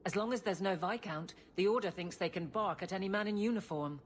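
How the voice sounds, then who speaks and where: An actress playing a stern, dutiful captain of the city guard in a video game, brisk and authoritative. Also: female voice, mid-range voice, close to an everyday voice. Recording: faint background noise.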